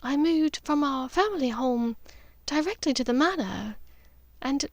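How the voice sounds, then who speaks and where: A refined middle-aged witch, acted by a woman narrator, speaks in a raised, high register. She is measured and precise yet emotional, as in a storybook, on a very dry recording. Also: expressive, no echo. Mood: regretful, tearful. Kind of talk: audiobook character dialogue.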